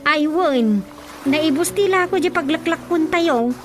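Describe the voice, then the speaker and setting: An actress playing a dutiful grown daughter in an Ilocano radio drama, deferential and matter-of-fact. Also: female voice, sits high, expressive, measured pace. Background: prominent background music.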